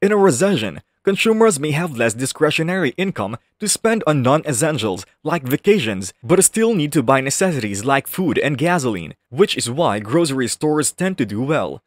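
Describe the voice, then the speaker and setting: A man's voice: mid-range voice, big pitch swings, normal speed, few pauses, dry recording. Dry close-miked male voice-over narrator.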